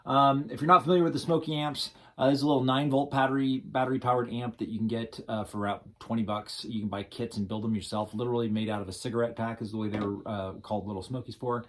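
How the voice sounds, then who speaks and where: A man with a medium-pitched voice gives an informal, unscripted demo of something he built at home. He thinks aloud, pausing and hedging as he goes, with a dry, self-mocking streak. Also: steady tone. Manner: self-deprecating, wry. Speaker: hobbyist guitarist demonstrating a homemade project. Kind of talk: casual unscripted demo vlog.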